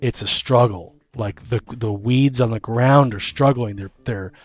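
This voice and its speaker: A man casually brainstorming fantasy lore as a podcast host, thinking aloud in halting, repeated phrases. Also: medium pitch, faint music behind.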